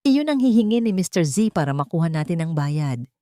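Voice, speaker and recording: An ambitious, scheming woman voiced by a female storyteller from a romance story. She talks urgently and persuasively. Her pitch swings widely in a dry, close sound. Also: big pitch swings, brisk, mellow, no room sound. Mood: eager, urgent. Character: scheming, ambitious female lover plotting a big payoff.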